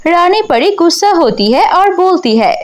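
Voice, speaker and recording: A woman reads a scripted story for children. Her voice is very high and her pace is slow, in the manner of a cartoon storyteller. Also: very animated.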